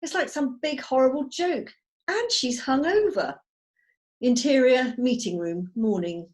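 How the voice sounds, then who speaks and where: A woman's voice: sits high, lively intonation, measured pace, darkish tone. A woman giving scripted narration, reading out stage directions on a video call.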